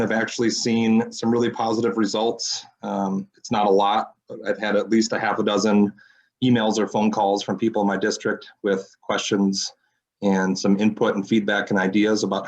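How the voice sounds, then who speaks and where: A man with a deep voice giving a brisk citizens council report at a city meeting.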